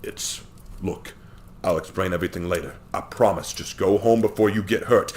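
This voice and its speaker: A man voicing a low sneering crime boss villain. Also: moderate pace, a little hiss or hum, lightly acted. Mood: desperate. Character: cold menacing male crime boss villain.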